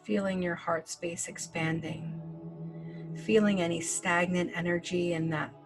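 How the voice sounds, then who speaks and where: A woman leading a guided breathing meditation, speaking slowly and gently with long pauses and casual fillers. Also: on the low side, steady tone. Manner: slow, gentle, soothing instructions with long pauses. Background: soft background music.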